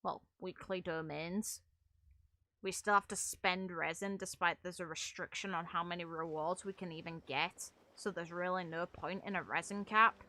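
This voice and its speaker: A woman's voice: lowish voice, steady tone, normal speed, bright, forceful tone. A woman streaming a game in casual, rambling commentary, talking in a blunt, exasperated, sweary way. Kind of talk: casual ranting gaming commentary.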